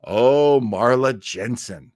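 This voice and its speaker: A man's voice: medium pitch, slow pace, darkish tone, no echo, studio quality. Rambling, chatty male livestream host. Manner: rambling, chatty, stop-start delivery. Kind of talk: casual livestream chat with viewers.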